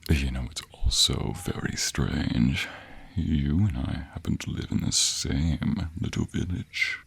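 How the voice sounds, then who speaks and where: A very deep-voiced bandit of the dark woods, acted by a man, menacing and teasing. He taunts in a slow, hushed drawl with a husky edge, as in an intimate audio roleplay. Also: heavily acted. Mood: menacing, possessive.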